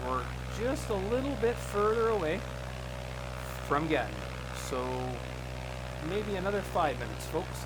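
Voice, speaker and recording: A man's voice: a little high, very noisy. A man giving a casual, informal live stream tutorial, explaining things step by step to his viewers. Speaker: live streamer, flight simulator host.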